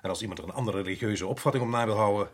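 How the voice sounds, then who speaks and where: An actor playing a stern, principled Dutch colonial official in a radio drama, formal and probing, with a husky voice. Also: male voice, on the low side, rapid-fire. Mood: firm, challenging.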